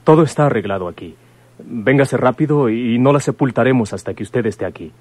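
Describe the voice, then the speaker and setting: A man playing a grave, considerate son in a radio drama, speaking politely and haltingly. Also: medium pitch, expressive, darkish tone, no echo, lightly acted. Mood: reassuring. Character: grave, considerate son breaking bad news.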